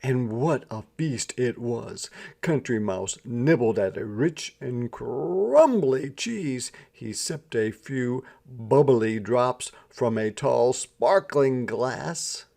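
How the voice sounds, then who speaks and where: Slow, creaky-voiced man reading a storybook. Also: medium pitch, animated, warm tone. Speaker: storyteller reading a children's book aloud. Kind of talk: expressive scripted storybook narration.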